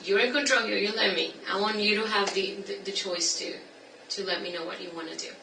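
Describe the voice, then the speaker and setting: A woman's voice: mid-range voice, fairly even intonation, fairly quick, gravelly, a loud crowd. Colombian woman interviewing in an echoey room. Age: 20s. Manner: friendly, with soft laughs and fillers. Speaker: forensic interviewer. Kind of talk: gentle interview opening. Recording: echoey room, distant mic, noisy.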